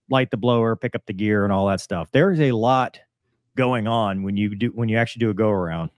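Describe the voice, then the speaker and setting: A man's voice: fairly low pitch, no echo, studio quality. A man in his forties giving casual, conversational explanatory commentary as a host, using pilot jargon. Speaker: host, former fighter and airline pilot commentator. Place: small home room, on a video call.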